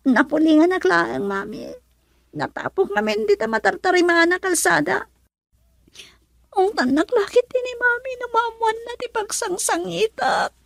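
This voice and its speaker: High, creaky-voiced timid young daughter. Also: female voice, animated, moderate pace, full character voice. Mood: evasive, sad. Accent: Ilocano Filipino. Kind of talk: Ilocano radio drama acting.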